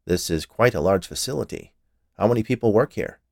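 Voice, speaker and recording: Man as a curt, low-pitched fussy male inspector. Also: expressive, brisk, creaky, darkish tone, a little hiss or hum. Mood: curt, irritated. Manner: curt, officious, grumbling. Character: small fussy stern male health inspector, easily annoyed. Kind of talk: audiobook character voice.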